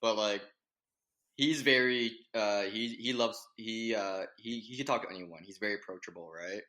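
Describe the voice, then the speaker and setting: Reserved, monotone young man, bright-toned. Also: fairly low pitch, normal speed. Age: young adult. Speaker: guest. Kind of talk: casual podcast chat.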